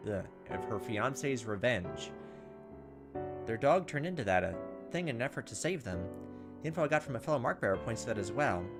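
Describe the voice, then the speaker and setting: A man hosting a gaming video, reading visual novel text aloud and adding casual asides. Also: medium pitch. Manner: slips into acted character voices between narration. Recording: game music behind the voice. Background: video game music.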